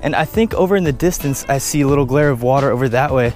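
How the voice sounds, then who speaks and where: Fast-talking young American man, chatty. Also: medium pitch, darkish tone, soft background music. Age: 20s. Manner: informal, chatty, addresses viewers as guys. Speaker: fishing vlogger. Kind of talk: casual vlog talk to viewers.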